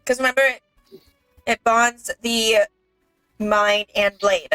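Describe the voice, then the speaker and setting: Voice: medium pitch, fairly even intonation, takes it slowly, bright, forceful tone, smooth, no echo, faint music behind, poor audio. A female-sounding gaming streamer giving casual commentary during a playthrough with a friend.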